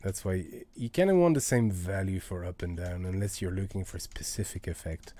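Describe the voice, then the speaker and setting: A low-voiced man chatting casually as he works through a live music-making tutorial, halting and thinking aloud. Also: mellow, no echo. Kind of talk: casual tutorial chat while working. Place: indoors at a computer.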